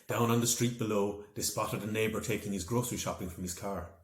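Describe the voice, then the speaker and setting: A man reading a children's storybook aloud in an expressive, dramatic storytelling manner. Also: on the low side, a little fast. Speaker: author reading from own novel. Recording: echoey room.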